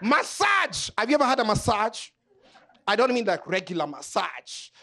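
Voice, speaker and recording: A man from Kenya doing stand-up comedy on a club stage, loud and animated, joking with the crowd. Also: high voice, moderate pace.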